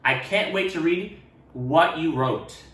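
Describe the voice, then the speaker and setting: A man voicing an encouraging female primary school teacher in a storybook, with singsong, drawn-out calls. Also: on the high side, fairly bright tone, voice pushed up. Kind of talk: picture-book character voice. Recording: roomy echo, distant microphone.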